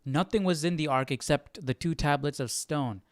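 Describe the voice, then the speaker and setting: A man's voice: a little high, moderate pace, no echo, studio quality. A man hosting a live Bible study, thinking aloud in a casual, questioning, conversational way.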